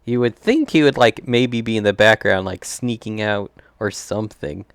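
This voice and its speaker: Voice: mid-range voice, normal speed, clear, resonant tone, dry recording, clean studio sound. A game streamer giving casual, chatty playthrough commentary and reacting aloud to the game.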